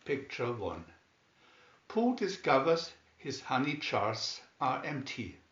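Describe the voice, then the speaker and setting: Male narrator for children's picture stories. Unhurried, very expressive delivery; dull-sounding home recording. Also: medium pitch, echoey room. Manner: deliberate, with emphatic swoops on key words. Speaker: storybook reader. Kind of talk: scripted children's story narration, read aloud slowly and expressively.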